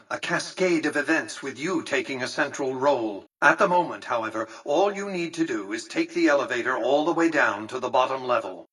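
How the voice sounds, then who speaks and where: Pompous, raspy elderly tycoon. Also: male voice, mid-range voice, sharp tone, far from the mic, poor audio. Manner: formal, pompous, precise diction; condescending. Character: imperious elderly male tycoon ruling a casino city, coldly calculating. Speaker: video game character. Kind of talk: scripted game dialogue.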